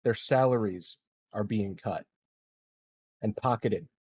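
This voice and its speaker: A man playing a populist political candidate, giving grave, deliberate oratory against corruption in a tabletop game. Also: fairly low pitch, a little mellow, slightly rough. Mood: solemn accusing.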